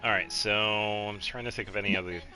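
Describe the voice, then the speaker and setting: A man casually commentating a game on a live stream, chatting with viewers and thinking aloud in a low-key, rambling way. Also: fairly even intonation, fairly quick, bright, forceful tone. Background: game music.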